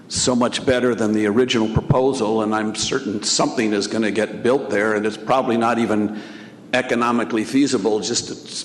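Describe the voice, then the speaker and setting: A man's voice: lowish voice, slight background noise. Man speaking at a public hearing, echoey. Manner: hesitant, measured, plain-spoken. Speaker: public commenter. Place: city council chamber. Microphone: podium microphone. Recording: echoey council chamber with long reverb.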